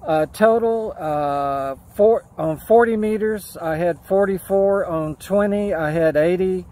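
An American man in his sixties chatting casually and haltingly as he narrates an outdoor vlog. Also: high-pitched, mellow. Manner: halting, unhurried. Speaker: amateur radio operator and vlogger. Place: outdoors at a beachside park. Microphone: handheld action camera or phone at arm's length.

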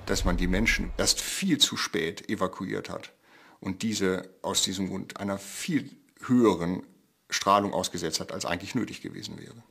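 A man giving measured, matter-of-fact expert commentary in an interview. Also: sits low, animated, creaky, a bit bright, breathy edge.

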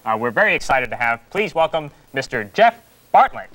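A man in his thirties hosting a talk show in a halting, awkward way, often repeating what guests say. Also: mid-range voice, sing-song. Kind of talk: halting interview chat. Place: TV studio. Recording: noisy TV studio with loud audience.

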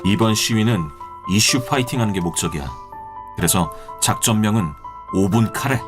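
A man's voice: fairly low pitch, music in the background, lightly acted. A man playing a cool-headed student protest leader. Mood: steady, commanding. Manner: brisk and commanding, plans like a field officer. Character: calm, confident male student protest leader. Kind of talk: audio drama acting.

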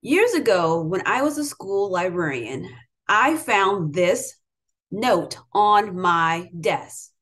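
A woman's voice: mid-range voice, sing-song, slow, fairly bright tone. A middle-aged American woman, an educator, giving an emphatic motivational talk to students. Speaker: educator, interviewer. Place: home room with bookshelves, indoors.

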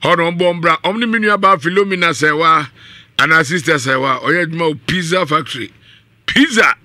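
A Ghanaian man hosts a radio talk show in middle age. His voice is very bright and forceful, a little high for a man, and recorded dry and close on a studio mic. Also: lively intonation, fairly quick, no echo. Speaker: radio host. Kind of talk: live radio talk show hosting with ad-libbed sponsor reads. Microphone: studio condenser mic on boom arm, up close.

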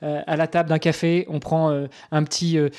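A man's voice: fairly high pitch, level tone, fairly quick, a little mellow. A man hosting a podcast, talking in an informal, chatty way. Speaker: podcast host. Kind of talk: podcast intro narration and casual interview chat.

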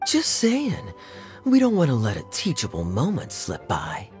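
An actor playing a suave, teasing young knight with a smooth, wry drawl in an anime-style game. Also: male voice, mid-range voice, wildly swooping pitch, unhurried, faint music behind.

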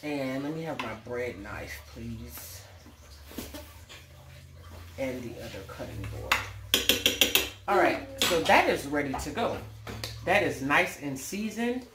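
A middle-aged American woman with a folksy, playful manner, casually demonstrating home cooking as the host. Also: low voice. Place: indoors in a home kitchen. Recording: roomy, clearly noisy home recording with clicks.

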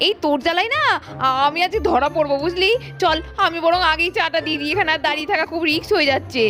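Woman playing an extremely high, excitable daughter-in-law. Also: fast. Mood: nervous, hushing. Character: anxious, dutiful elder daughter-in-law, excitable and polite. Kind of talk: Bengali puppet cartoon narration and dialogue.

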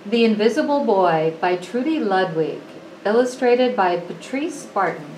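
A woman reading a children's picture book aloud with expressive, deliberate phrasing, as an educator would to pupils. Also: mid-range voice. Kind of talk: storybook read-aloud. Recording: roomy echo, clearly noisy background.

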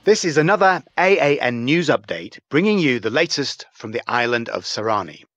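A serious TV news anchor for a video game, acted by a man. He reads scripted bulletins formally and with measured emphasis. His pitch moves around a great deal, and the sound is very dry. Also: lively intonation, a bit bright, dry recording, faint music behind, lightly acted. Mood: grave, formal.

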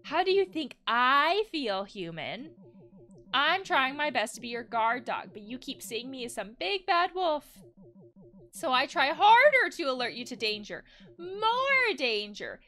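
A woman's voice: sits high, sing-song, unhurried, bright, forceful tone, full character voice. A woman voicing an anxious, nagging guard wolf from a game, in a melodramatic, pleading manner. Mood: desperate, pleading. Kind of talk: game dialogue read aloud.

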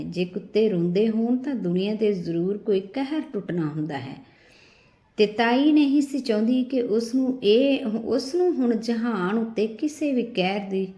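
A woman's voice: fairly high pitch, mellow. A woman reading a literary short story as a scripted narration. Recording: roomy echo on the voice.

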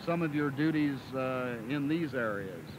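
A middle-aged man hosts a farm-report interview, asking measured, leading questions in a polished cadence. His voice sits at an ordinary mid pitch. He holds a handheld mic outdoors, and the old 16mm film sound carries steady noise. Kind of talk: broadcast interview. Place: outdoors by a cattle pen.

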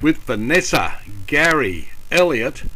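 A man's voice: on the high side, very animated, takes it slowly, sharp tone, slight background noise, poor audio. A middle-aged man, enthusiastically narrating his own sailing trip video as a voice-over. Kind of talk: home travel video voice-over.

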